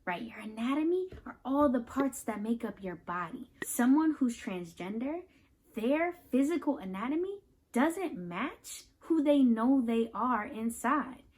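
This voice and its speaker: A woman with a high voice reads aloud for children as a host and teacher. Her pitch swings widely as she explains things step by step. She speaks straight to the listener, checking in with simple questions. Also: a little slow, slightly rough. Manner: animated, instructive, addresses listeners with questions. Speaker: host reading a picture book aloud to children.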